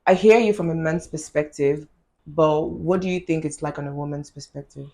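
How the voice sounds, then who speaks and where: A podcast interviewer who leads a relaxed talk with follow-up questions. The voice sits at a medium pitch, and the host prompts the guest with short affirmations. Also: normal speed. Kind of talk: casual interview.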